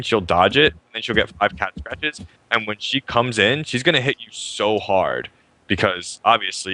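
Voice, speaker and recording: A young man hosting a live stream show, talking fast and upbeat about a fighting game and often restarting his sentences. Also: lowish voice, sharp tone. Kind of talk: casual game commentary.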